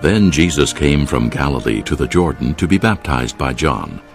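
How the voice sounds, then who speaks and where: A man with an extremely deep, creaky voice narrates scripture. His solemn storytelling moves expressively up and down as a scripted dramatic reading. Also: very animated, moderate pace, music in the background.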